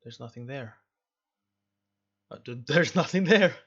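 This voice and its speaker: A man's voice: mid-range voice, lively intonation, measured pace, fairly bright tone, husky, muffled recording. A man hosting a casual game playthrough, talking reflectively and often repeating his phrases as he goes. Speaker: gaming video host.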